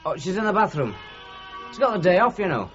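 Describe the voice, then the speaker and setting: A man's voice: high voice, sing-song, fast talker. An actor playing a hesitant, downcast, evasive young married man in a 1970s radio drama. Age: young adult. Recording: dull old radio recording, music playing.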